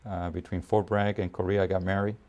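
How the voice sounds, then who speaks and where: Man in his fifties, deep and mellow voice, army officer recounting his career, pausing often. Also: flat delivery. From Puerto Rico, raised in New York City. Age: 50s. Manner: measured, with frequent pauses and fillers. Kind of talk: documentary interview.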